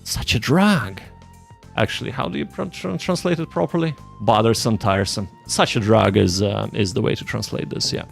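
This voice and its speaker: A man's voice: fairly low pitch, brisk, vocal fry, few pauses, faint music behind. A man doing casual live study-stream commentary, thinking aloud and translating hesitantly. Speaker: streamer, language learner.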